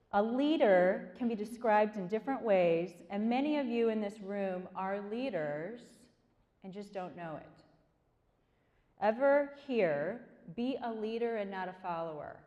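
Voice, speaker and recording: A woman's voice: medium pitch, warm tone, highs cut off. Chatty woman speaking in a hall. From Korea. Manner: chatty, asks the audience questions. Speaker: guest speaker. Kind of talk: motivational talk to students. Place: large hall. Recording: long hall reverb.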